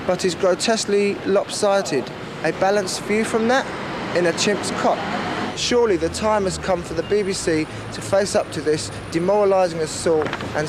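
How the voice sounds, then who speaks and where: A man's voice: high-pitched, noisy background, natural voice. A man playing an indignant viewer reading out a complaint in a pompous, formal, precise manner.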